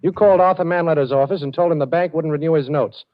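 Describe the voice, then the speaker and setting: An actor playing a wry, cool-headed amateur sleuth on the run in a 1940s radio drama. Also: male voice, fairly high pitch, quick pace, no room sound, close to an everyday voice. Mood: menacing, wry. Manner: wry, wisecracking. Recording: muffled old radio recording.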